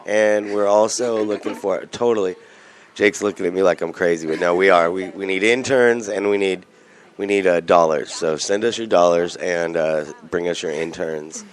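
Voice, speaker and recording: A man's voice: sits low, normal speed. A male radio host in casual chat, rambling and jokey, often restarting his sentences.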